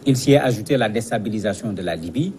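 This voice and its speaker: A man's voice: on the low side, lively intonation, quick pace, mellow. Man explaining as analyst, faint background noise. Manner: didactic, repeats words as they search for phrasing. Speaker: guest analyst. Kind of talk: explanatory commentary in a TV news interview.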